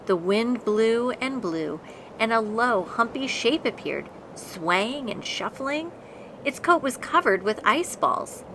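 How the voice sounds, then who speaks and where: A woman reading a children's picture book aloud in an expressive, deliberate storytelling manner. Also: on the high side, noisy background. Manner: deliberate, dramatic storytelling with acted voices. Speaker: storybook reader.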